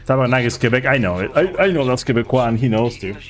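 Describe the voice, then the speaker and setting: A man's voice: medium pitch, animated, quick pace, faint music behind. A man streaming a speedrun, chatting casually and rambling as he searches for words. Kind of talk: casual chat while playing.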